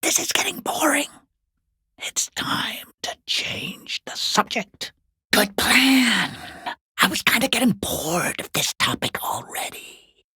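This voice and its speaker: Casting: sinister, taunting watcher in a video game, breathy raspy whisper, slow sarcastic delivery, close dry sound. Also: male voice, very high voice, very animated, sharp tone, dry recording, exaggerated voice. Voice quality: hoarse, breathy whispering rasp. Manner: taunting, sarcastic, drawn-out theatrical phrasing. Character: mocking, sinister unseen male watcher sneering from the shadows. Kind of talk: video game character voice lines.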